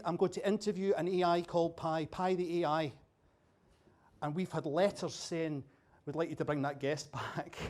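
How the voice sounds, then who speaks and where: A Scottish man with a fairly high voice gives a keynote at a dinner, chatty and quick with a joke. He keeps throwing questions at the audience. He is miked up in a venue with a bar. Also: a little mellow. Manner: chatty, jokey, quizzing the audience. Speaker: keynote speaker. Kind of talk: humorous after-dinner talk.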